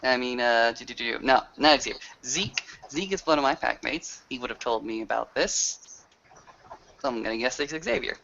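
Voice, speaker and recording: A man's voice: mid-range voice, moderate pace, vocal fry, bright, forceful tone. A man with a casual, colloquial, sweary manner, roleplaying in character and narrating a tabletop game. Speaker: game master. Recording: muffled audio with noticeable background hiss.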